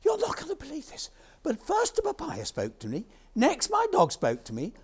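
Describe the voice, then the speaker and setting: Man acting out a high-pitched, panicky storybook farmer. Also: wildly swooping pitch, normal speed, exaggerated voice. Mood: frantic disbelief. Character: panicky male farmer, breathless, insistent and excitable.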